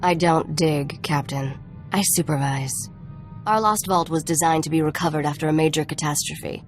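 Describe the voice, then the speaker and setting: A woman's voice: low-pitched, fairly even intonation, moderate pace, soft background music, lightly acted. An actress playing a tough, haughty woman smuggler in a video game, dry, sarcastic and self-assured.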